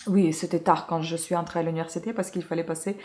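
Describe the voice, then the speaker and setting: Woman from ukraine, lowish voice, lots of euh and restarts, chatty book review. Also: steady tone, fast, a little mellow. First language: Russian. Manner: hesitant, many fillers and self-corrections. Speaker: book reviewer, channel host. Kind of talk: casual book review chat.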